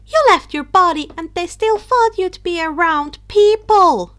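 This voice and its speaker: A woman's voice: very high voice, a little slow, fairly bright tone, voice pushed up. A woman voicing an earnest, indignant young witch girl in a fan fiction reading, exclaiming her words emphatically. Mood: indignant, urgent.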